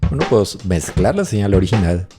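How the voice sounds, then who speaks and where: A man presenting a music production tutorial, explaining as he demonstrates and thinking aloud in short phrases with pauses. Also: lowish voice, sing-song. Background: drum loops playing.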